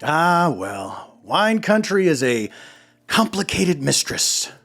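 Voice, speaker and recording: A burly, middle-aged florist in a podcast sitcom. His voice sits a bit high and moves up and down freely. He is folksy, philosophical and gently nosy. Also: very animated, slow, faint music behind, exaggerated voice. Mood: wistful, wise. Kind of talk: sitcom audio drama acting.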